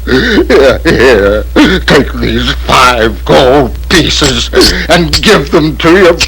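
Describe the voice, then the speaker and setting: A man playing a huge, frightening but soft-hearted puppet showman in an audio fairy tale, blustering and emotional. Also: mid-range voice, sing-song, extremely slow, crowd noise, exaggerated voice, low-quality recording. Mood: tearful, generous.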